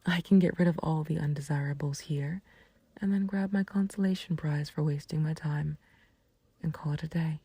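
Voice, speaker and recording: A woman playing a calm, taunting villain in an intimate roleplay audio, speaking in a breathy near-whisper with unhurried condescension and mock sympathy. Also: deep voice, dry recording. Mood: condescending, coaxing. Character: calm, taunting female villain, menacing yet fond of a friend. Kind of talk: scripted roleplay audio acting, intimate ASMR.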